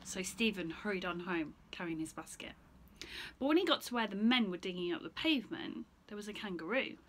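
A woman's voice: medium pitch, lively intonation, brisk, a little hiss or hum. A woman in her thirties reading a picture book aloud to children as a teacher, asking the listener questions as she goes. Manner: asks the listener questions between story lines. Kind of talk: expressive children's story reading. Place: small bright room indoors, seated in an armchair.

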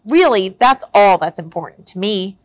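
A woman voicing a kind, quick little female squirrel in a storybook, squeaky and gentle. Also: animated, no room sound, lightly acted. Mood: warm.

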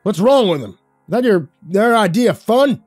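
A man voicing an angry old male dockmaster who yells and spits in rage, in a spoken horror story. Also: high voice, expressive, moderate pace, breathy edge, dry recording, soft background music, voice pushed up. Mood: furious. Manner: yelling, spitting mad.

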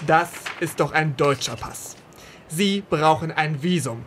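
An actor playing an officious, courtly British officer in a comic radio play. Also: male voice, a little high, a little slow, a bit bright, a little hiss or hum.

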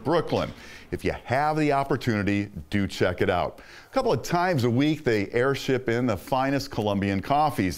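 A middle-aged man hosting a show, reading an enthusiastic, upbeat scripted voice-over. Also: mid-range voice. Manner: enthusiastic, upbeat presenter delivery. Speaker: host.

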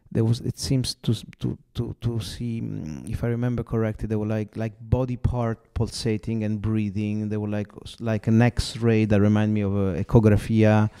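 A man with an Italian accent hosting a podcast interview, halting and searching for words as he asks questions. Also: on the low side, vocal fry, dark tone, clear, resonant tone. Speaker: host.

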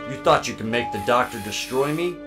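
A man acts a cold male android in an audio drama. He taunts in a measured, theatrical, mid-pitched voice, with music underneath. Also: lively intonation, lightly acted. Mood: accusing. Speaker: voice actor.

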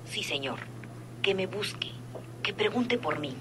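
An actress playing a discreet, obedient gangsters' accomplice in a Mexican radio serial, guarded and matter-of-fact, on an old recording. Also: female voice, medium pitch, lively intonation, measured pace, fairly bright tone, slight background noise. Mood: obedient, reporting.

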